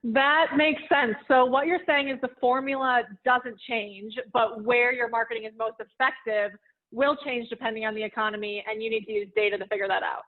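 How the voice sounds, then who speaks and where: A woman's voice: on the high side, fairly even intonation, fairly quick. A woman hosting an interview, asking questions and summarizing the guest's points in a friendly way. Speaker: interview host. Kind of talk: conversational interview. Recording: tinny phone-like line.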